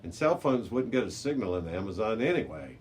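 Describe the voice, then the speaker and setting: A man's voice: on the low side, big pitch swings, fast. Man reading as a cautious, questioning storybook boy. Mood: matter-of-fact. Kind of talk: children's storybook character voice. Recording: noisy amateur recording with background hiss.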